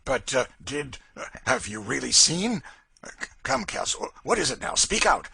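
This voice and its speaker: A man's voice: medium pitch, very animated, normal speed, creaky, husky, no room sound, studio quality, voice pushed up, exaggerated voice. A man doing a blustering, cursing brute. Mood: blustering, scared. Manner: blustering and stammering, loud. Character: brutal, cursing, frightened male plantation master. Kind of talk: audiobook character voice.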